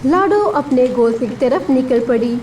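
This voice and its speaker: A woman's voice: high voice, moderate pace. A woman narrating a scripted story for children. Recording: distant voice with steady rain noise.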